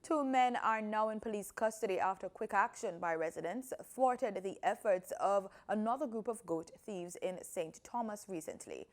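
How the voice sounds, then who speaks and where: Mid-pitched woman news anchor. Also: moderate pace, few pauses. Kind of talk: scripted news introduction.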